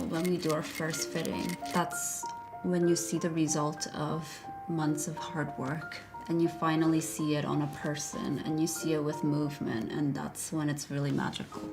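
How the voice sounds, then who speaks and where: A young fashion designer from South Korea talks in a low, even voice. She speaks slowly and thoughtfully, and music plays clearly underneath her. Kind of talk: documentary interview.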